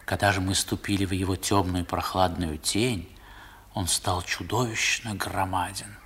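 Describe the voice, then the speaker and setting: A man's voice: sits low, steady tone, measured pace, a bit bright, husky, exaggerated voice. A man narrating a 1960s radio play as a grown storyteller recalling boyhood, in a dramatic literary manner, on an old recording. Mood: awed, brooding.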